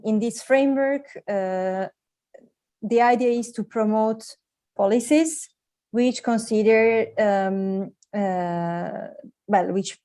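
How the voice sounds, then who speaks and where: A woman with a soft-edged, mellow voice at a medium pitch explains things on a panel. She speaks off the cuff, halting and correcting her wording as she builds each sentence. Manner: halting, self-correcting, tags phrases with "no". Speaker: UN secretariat officer, panelist. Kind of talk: unscripted explanatory panel talk.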